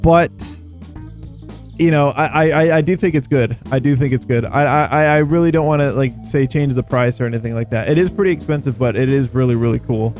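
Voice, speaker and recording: A man giving casual gameplay commentary in an excitable, slangy, rambling way, often repeating phrases as he thinks aloud. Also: mid-range voice, fairly quick. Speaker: video game YouTuber and host. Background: background music.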